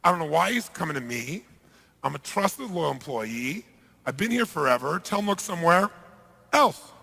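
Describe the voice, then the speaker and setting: Cornered accountant acted by a male storyteller, emphatic self-defence, high and bright, reverberant hall. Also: sing-song, moderate pace, vocal fry, husky, exaggerated voice. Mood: indignant. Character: accused accountant, protesting then panicked and pleading.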